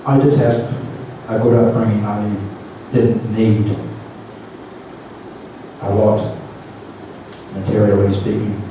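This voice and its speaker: A man with a New Zealand accent gives an informal talk as a Buddhist monk, hesitant and gently self-deprecating, with light humour. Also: fairly low pitch, level tone, takes it slowly, pauses often. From Auckland, New Zealand. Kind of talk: informal Dhamma talk to an audience. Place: community centre hall. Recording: distant mic, very echoey room, noisy.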